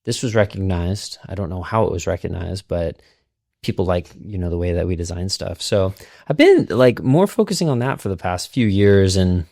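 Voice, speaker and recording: A man's voice: low voice, expressive, moderate pace, gravelly, darkish tone, no room sound, studio quality. A man guest talking in an easygoing way about his design work, with many fillers. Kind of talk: casual podcast conversation.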